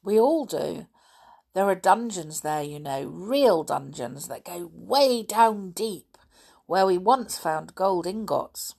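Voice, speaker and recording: A woman's voice: on the low side, lively intonation, takes it slowly, vocal fry, a little mellow, husky, full character voice. Woman voicing a hot-tempered, proud young tomboy girl. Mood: eager, pleased. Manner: indignant, blurting, hesitant when flustered. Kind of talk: children's storybook character reading.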